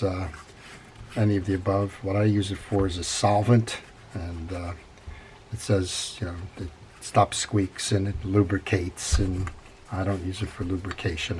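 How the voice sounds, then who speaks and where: An older man with a head cold, congested, hosting a relaxed, rambling how-to on servicing a fishing reel at his workbench. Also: on the low side, a little hiss or hum. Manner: relaxed, rambling with frequent fillers. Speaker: fishing reel repair channel host. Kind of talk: casual how-to narration. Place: workshop bench.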